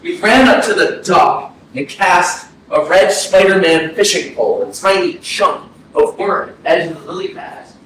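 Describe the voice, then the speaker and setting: A man's voice: high voice, fairly bright tone. An actor playing a troubled, earnest young man with a hesitant delivery in a staged play reading. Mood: reflective. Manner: hesitant, halting. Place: arts center stage. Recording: very echoey hall, distant, faint noise.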